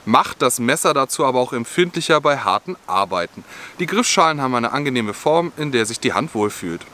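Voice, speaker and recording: Bright-voiced young man reviewing outdoor gear. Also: medium pitch, animated, fairly quick. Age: young adult. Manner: friendly, explanatory, with scripted-sounding product details. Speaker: outdoor gear channel host. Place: outdoors in a forest.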